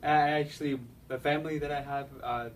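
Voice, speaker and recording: A man's voice: a little high, fairly even intonation, fairly quick. A young man whose first language is Spanish, speaking English with a Spanish accent, answering interview questions hesitantly with many fillers and restarts. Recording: distant mic, faint background noise.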